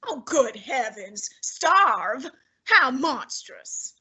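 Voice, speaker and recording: A fussy, heavyset older detective holds court in an old-time radio drama, played by a woman. He talks down to everyone in slow, high, bright tones, savoring each long word. His manner stays haughty and imperious throughout. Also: big pitch swings, husky, exaggerated voice. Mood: exasperated. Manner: haughty, fussy, lofty diction.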